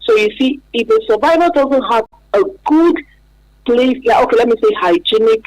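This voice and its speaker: A woman's voice: a little high, normal speed, a little mellow, dry recording, rough recording. A woman with a Nigerian accent speaking as an expert guest in a radio interview, explaining emphatically with repetition and examples. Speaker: interview guest, expert on supporting trafficking survivors.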